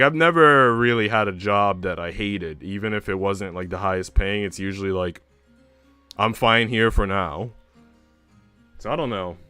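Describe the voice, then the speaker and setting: A young man with an American accent talks casually to his livestream. His voice is clear and resonant, on the low side, and his delivery is slangy and informal with some swearing. The sound is close and dry, with music playing behind him. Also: fairly bright tone, dry recording. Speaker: livestreamer and host. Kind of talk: casual livestream chat with viewers. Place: indoor room at home.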